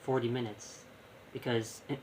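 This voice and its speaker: A man's voice: mid-range voice, flat delivery, normal speed, a crowd in the background, rough recording. A young man giving casual live gameplay commentary, rambling and thinking aloud. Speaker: gaming streamer. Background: game music.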